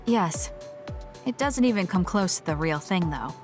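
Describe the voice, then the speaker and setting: A woman's voice: on the low side, expressive, unhurried. An actress playing a stern, reserved but caring young female soldier in a video game, with a cool, matter-of-fact delivery. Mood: reserved, softened. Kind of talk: video game English voice acting. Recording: game music behind the voice. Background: game music.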